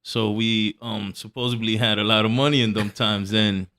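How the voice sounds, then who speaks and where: A young man from Puerto Rico, a pro wrestler guest, chatting casually in relaxed street slang and often trailing off. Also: lowish voice, moderate pace. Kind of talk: casual podcast chat.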